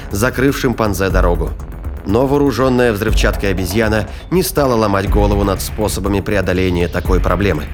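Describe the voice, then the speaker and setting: A man reading scripted science fiction narration as the narrator of an audio drama. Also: fairly low pitch. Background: dramatic music, battle sound effects.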